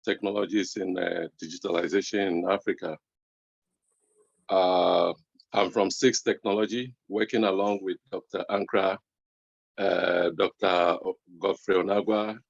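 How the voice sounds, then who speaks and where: A man's voice: low-pitched, vocal fry, dry recording. A man from Ghana introduces himself over a video call, hesitating often with fillers. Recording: dull dry video call audio.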